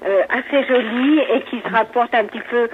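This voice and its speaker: A woman's voice: fairly high pitch, normal speed, a little hiss or hum. A woman working at a pastry shop, talking politely and effusively on the phone with many hesitations. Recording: muffled, band-limited telephone line.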